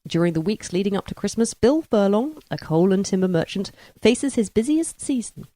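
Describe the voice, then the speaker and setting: A woman's voice: medium pitch, lively intonation, moderate pace, mellow, no room sound. A woman hosting a podcast, talking through and introducing books in a discussion. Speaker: book club podcast host.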